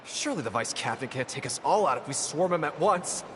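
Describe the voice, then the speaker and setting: An actor playing a rattled, blustering young knight in a combat drill in a video game. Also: male voice, fairly high pitch, expressive, fairly quick, natural voice. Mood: panicked bravado. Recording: long reverb, faint noise.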